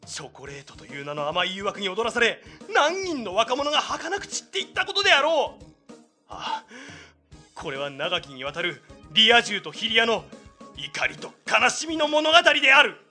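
A man's voice: high-pitched, wildly swooping pitch, moderate pace, soft background music, full character voice. Hot-blooded anime-style young man, piercing tone, wildly swinging melodramatic delivery, comic bitterness. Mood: theatrical brooding. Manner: melodramatic, shouting rants with mock-grand speeches. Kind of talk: comedic anime-style voice drama.

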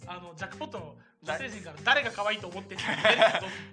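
Male radio co-host with a lively, bright delivery, teasing casual banter at high speed, music underneath. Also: rapid-fire. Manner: animated, teasing. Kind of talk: casual banter with occasional announcements. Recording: low-quality livestream audio over background music. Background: background music.

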